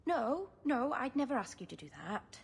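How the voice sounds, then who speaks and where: An actress playing a stubborn, anxious young elf woman in a video game, speaking earnestly and haltingly. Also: sits high, very animated, slightly rough, heavily acted. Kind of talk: dramatic video game acting.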